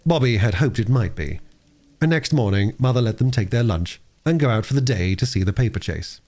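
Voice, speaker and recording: A man narrating a story as an audiobook reader, with expressive delivery. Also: medium pitch, a little fast.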